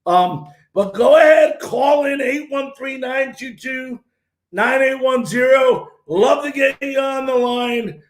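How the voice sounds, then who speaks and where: Hoarse, loud sports talk host. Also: male voice, very high voice, roomy sound. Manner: loud and opinionated, often shouting. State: hoarse, losing his voice.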